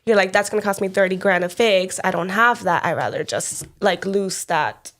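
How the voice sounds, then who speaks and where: A woman's voice: mid-range voice, normal speed, fairly bright tone. A woman hosting a casual interview, a native Spanish speaker who chats freely and laughs often. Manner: chatty, laughs often, many "like"s. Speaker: podcast host.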